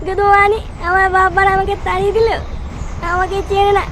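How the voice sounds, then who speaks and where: A man voicing a brash, foul-mouthed young drinker in a comedy cartoon, with a pitched-up voice. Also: completely flat delivery, fast talker. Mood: drunken grumbling. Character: hot-headed, hard-drinking young layabout son. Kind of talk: exaggerated comedy cartoon acting. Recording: noisy track with street and vehicle ambience.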